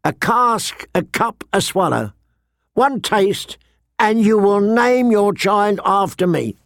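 A man's voice: high-pitched, lively intonation, slow pace, creaky, dry recording, clean studio sound, pitched above the performer's own voice, full character voice. A man narrating a fantasy audiobook, voicing a small, slender wine merchant with a florid, obsequious sales patter. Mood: eager hawking.

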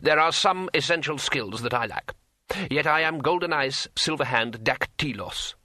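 A man's voice: a little high, normal speed, sharp tone, no echo, studio quality. A man voicing a proud, blunt, stoic master craftsman in an audiobook, speaking in an emphatic, declamatory way. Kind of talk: audiobook character voice.

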